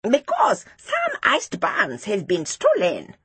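A woman's voice: on the high side, huge pitch swings, a little slow, husky, no echo, voice pushed up, full character voice. Woman playing a cocksure, accusing little schoolboy. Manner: insistent, smug.

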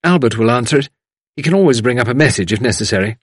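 A man voicing a brisk, kindly, commanding mistress of the house, reassuring in manner, as an audiobook character. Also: mid-range voice, expressive, breathy edge, no room sound, studio quality, voice pushed up.

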